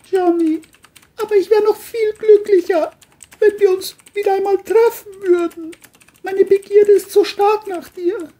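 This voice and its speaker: A woman's voice: very high voice, warm tone, pitched above the performer's own voice. A woman voicing an intense, volatile, seductive and menacing woman in a crime audio play.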